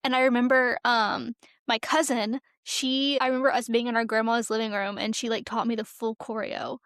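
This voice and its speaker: A woman's voice: a little high, little pitch movement, moderate pace, a bit bright, smooth, dry recording, clean studio sound. A young American woman from Tennessee chatting casually as a podcast co-host, telling personal stories in a chatty way. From Tennessee, USA. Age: young adult.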